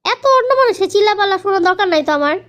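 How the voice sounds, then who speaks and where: A woman's voice: very high-pitched, expressive, very fast, fairly bright tone. A woman voicing a stubborn young wife in a cartoon family comedy, complaining and repetitive, with a Bangladeshi Bengali accent. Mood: defiant, irritated. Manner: complaining, repeats words. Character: quarrelsome, stubborn young wife and sister-in-law.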